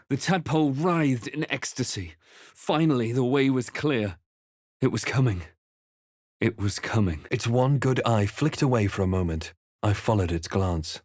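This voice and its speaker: An actor playing a brooding male fantasy adventurer, voicing video game lines in a hushed, deliberate, theatrical way. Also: medium pitch, lively intonation, dry recording, studio quality, close to an everyday voice. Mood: ominous, grave. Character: brooding male fantasy adventurer narrating his own quest.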